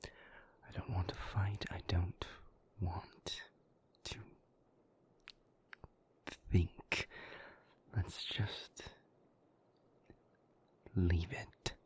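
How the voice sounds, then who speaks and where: A moody vampire boyfriend acted by a man murmurs in a very low, half-whispered voice. He is suave and guarded, choosing formal words and drawing them out very slowly, as in an intimate audio roleplay. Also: flat delivery, full character voice. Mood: tense, dismissive. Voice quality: breathy, creaky near-whisper. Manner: languid, formal, measured phrasing.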